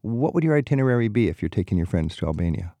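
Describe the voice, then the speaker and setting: A man's voice: on the low side, very animated, rapid-fire, vocal fry, warm tone, dry recording. A man hosting a radio travel call-in show, chatty and leading his guests with questions.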